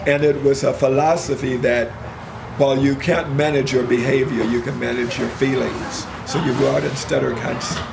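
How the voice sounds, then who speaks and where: An older man, a minister and retired engineer, telling his story in an interview in a measured, reflective way, with a mild stutter and occasional word swaps. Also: medium pitch, fairly even intonation, vocal fry. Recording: loud background noise.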